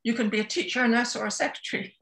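A woman's voice: on the high side, very fast, fairly bright tone. A woman in her seventies from Scotland, telling her story as an interview guest in a thoughtful, hesitant, conversational way. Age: 70s. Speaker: interview guest, author and therapist.

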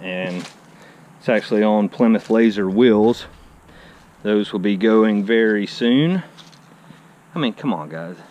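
Enthusiastic man, lowish voice. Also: slow pace, long pauses, darkish tone. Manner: enthusiastic, chatty, says "I mean" often. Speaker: car channel host. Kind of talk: casual walkaround vlog. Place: outdoor driveway beside a garage. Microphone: handheld action camera. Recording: faint outdoor background noise. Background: faint outdoor ambience.

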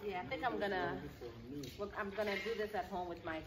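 A woman in her thirties chatting casually for her vlog outdoors, laughing often and getting lively when she tells stories. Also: medium pitch, a little fast. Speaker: vlogger. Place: outdoor courtyard. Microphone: camera mic. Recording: outdoor camera audio with noise. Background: outdoor ambience and animals.

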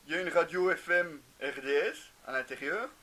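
A man's voice: on the high side, lively intonation, moderate pace, bright, forceful tone, rough recording. A man in his thirties giving a casual product review to camera in a garage, explaining with frequent hesitations. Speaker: motorcycle gear reviewer. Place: indoor garage with shelves.

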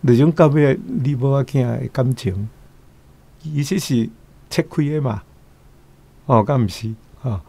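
A man's voice: mid-range voice, unhurried, gravelly, long pauses, dark tone, husky. A man with a Taiwanese accent giving casual commentary, chatty and full of rhetorical questions. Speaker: guest commentator.

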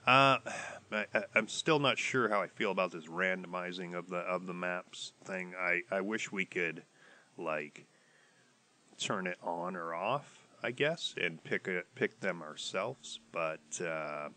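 A man's voice: sits low, a little slow, sharp tone. A man with a stuffy nose from a cold, casually commenting while playing a game, rambling and hesitant with many restarts. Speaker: gaming YouTuber.

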